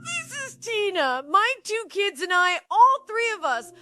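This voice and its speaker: Woman playing a shrill, terrified hiding mother. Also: sing-song, measured pace, sharp tone, soft background music, exaggerated voice. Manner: frightened, pleading. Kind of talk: horror audio drama emergency call.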